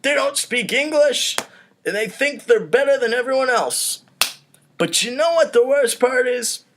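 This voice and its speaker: A man's voice: extremely high pitch, lively intonation, a little slow, noisy background, pitched above the performer's own voice. A man voicing a bigoted, falsely cheerful banker in a comedy script, with a theatrical salesman's delivery. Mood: scornful ranting.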